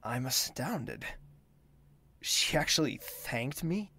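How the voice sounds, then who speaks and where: A reserved young prince in an audio drama, with a mid-pitched voice. He speaks formally and with measured courtesy, considerate of others and inclined to doubt himself. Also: big pitch swings, sharp tone, slightly rough, exaggerated voice. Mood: quietly astonished, reflective. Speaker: character actor and first-person narrator. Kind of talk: scripted audio drama with inner monologue.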